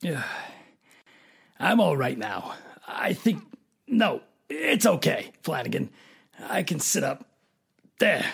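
A man playing a dazed, plainspoken tough guy in a radio drama, with a creaky, raspy voice and halting, ungrammatical speech. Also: fairly high pitch, big pitch swings, fairly bright tone, heavily acted. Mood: groggy.

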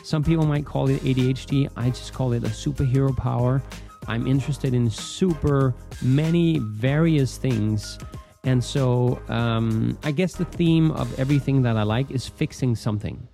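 Danish guy w a half american accent, rambly playful podcast host, bit of gravel in the voice, mellow tone. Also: mid-range voice, normal speed, faint music behind. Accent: Danish with American leanings. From Denmark. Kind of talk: casual solo podcast introduction.

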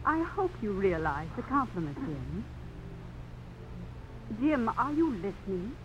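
A theatrical young society belle. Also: female voice, mid-range voice, big pitch swings, unhurried, highs cut off, background noise. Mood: coquettish, playful. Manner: theatrical, coquettish, haughty. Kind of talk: 1950s radio drama acting. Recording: old radio broadcast with music.

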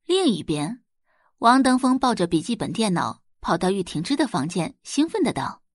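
A woman's voice: medium pitch, big pitch swings, normal speed, no room sound, studio quality. A woman narrating a scripted audio drama as a storyteller.

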